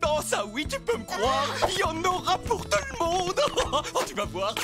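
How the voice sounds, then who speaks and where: A man voicing an excitable, eager-to-please suburban husband in a theatrical, nervous cartoon style. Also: very high-pitched, slow, music in the background, full character voice. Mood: gleeful. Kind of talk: exaggerated cartoon dubbing.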